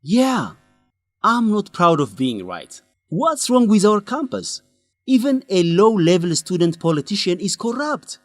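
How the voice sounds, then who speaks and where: An animated, indignant young student reporter. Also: male voice, sits high, measured pace, no room sound. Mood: indignant, frank. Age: young adult. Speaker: actor in an educational radio drama. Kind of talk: radio drama dialogue.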